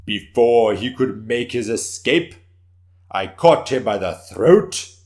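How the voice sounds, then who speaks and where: A man's voice: mid-range voice, animated, slow, darkish tone, pitched above the performer's own voice. A man voicing a boastful, fiery samurai warrior, declaiming grandly and powerfully in a dramatic story reading. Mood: triumphant.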